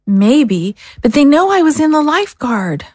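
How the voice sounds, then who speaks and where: High-voiced, downbeat young woman, acted. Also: sing-song, moderate pace, darkish tone, no echo, studio quality. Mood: resigned. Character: guarded, disillusioned young woman, modest former militia member. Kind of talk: audio drama dialogue.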